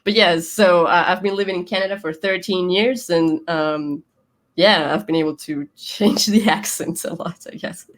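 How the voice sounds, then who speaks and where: Young woman guest with a light Venezuelan accent, Spanish native, chatty and quick to laugh as she tells stories. Casual, rambling interview talk. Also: fairly low pitch. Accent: Venezuelan Spanish, softened. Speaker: guest, YouTuber.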